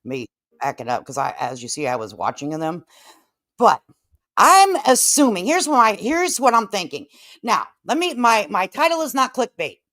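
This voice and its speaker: Casting: woman livestream host, very lively pitch swings, sassy folksy slang, casual chat, dry close sound. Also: normal speed, no room sound.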